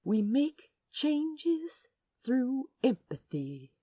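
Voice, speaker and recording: Woman playing a fussy, gentle male aquarium guide, very lively. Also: high-pitched, very slow, reverberant, full character voice. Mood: tender, earnest. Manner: polite, proper, earnest. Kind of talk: character acting for narrated audio fiction.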